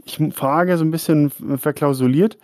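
A man's voice: a little high, normal speed, darkish tone, no echo, studio quality. A man hosting a casual hobby podcast, chatty and rambling, often laughing. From Germany. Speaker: podcast host. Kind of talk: casual chat.

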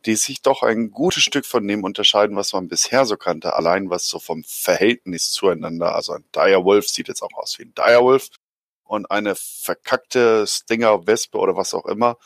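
A hoarse man on a podcast, talking in a rough, gravelly register that sits a little low. He rambles with eager excitement and drops jokes along the way. The sound is close and dry. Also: moderate pace, no echo. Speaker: podcast co-host. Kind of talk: enthusiastic casual chat.

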